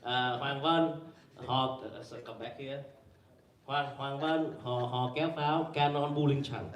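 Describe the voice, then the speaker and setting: A man presenting at a conference panel, talking haltingly with many fillers and restarts and quizzing the audience. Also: medium pitch, fairly even intonation. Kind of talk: academic presentation with slides. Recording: echoey room, mic far away.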